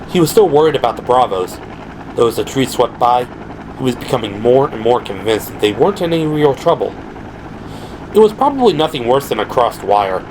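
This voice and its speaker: A man narrates an audio drama in a dramatic, scripted style. His pitch is middling, and vehicle and helicopter effects make a clear noise bed behind him. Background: helicopter and vehicle sound effects.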